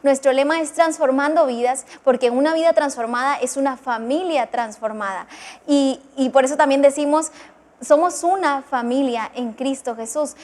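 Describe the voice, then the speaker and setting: A young woman speaks in a high, clear voice. She explains the ministry's mission in an earnest, heartfelt way, as a guest on a church TV program. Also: moderate pace, darkish tone. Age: 20s. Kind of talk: testimonial explanation.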